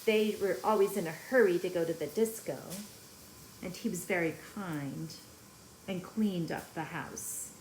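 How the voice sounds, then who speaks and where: A woman's voice: lowish voice, measured pace, a little mellow, a little hiss or hum. A woman teacher giving a read-aloud lesson, talking to young children and putting questions to them. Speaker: elementary school teacher. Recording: echoey room.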